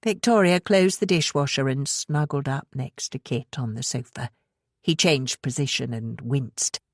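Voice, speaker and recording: Husky low-voiced woman narrating fiction. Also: a little slow, no room sound, studio quality. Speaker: audiobook narrator.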